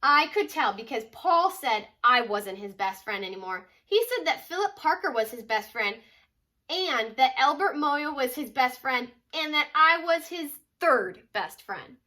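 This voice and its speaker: A woman's voice: high voice, expressive, unhurried, sharp tone. A woman reading a children's picture book aloud as a teacher would, expressively and with dramatic emphasis on key words.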